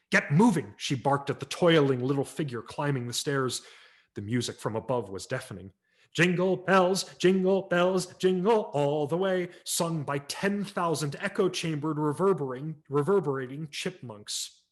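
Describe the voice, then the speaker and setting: A man narrating a humorous story aloud in a wry, expressive reading style for a virtual event. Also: medium pitch. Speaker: audiobook narrator. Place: home setup for a virtual event.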